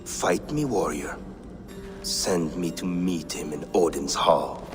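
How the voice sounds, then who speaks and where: An actor playing a proud, grieving Viking warrior, solemn and loyal to a fallen king, in a video game. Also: low-pitched. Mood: resolute. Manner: solemn, storytelling, weighty pauses. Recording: long cavern-like reverb, faint music behind.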